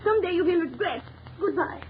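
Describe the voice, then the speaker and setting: A woman's voice: extremely high pitch, lively intonation, brisk, crowd noise. An actress playing a frightened, insistent young woman with a breathless, melodramatic manner in an old-time radio drama. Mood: bitter. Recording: tinny, noisy vintage radio recording.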